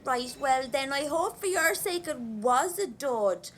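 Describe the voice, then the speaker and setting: Over faint background ambience, a young Irish rebel in an audio drama. Her voice is high and her delivery blunt, impatient and commanding, like someone in charge of a comrade. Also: expressive, slow, fairly bright tone, a little hiss or hum, heavily acted. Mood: impatient, accusing.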